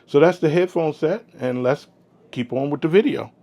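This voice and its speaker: A man giving a casual product review, explaining informally in short, halting phrases. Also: on the high side, warm tone, dull audio. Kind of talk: casual gear review vlog.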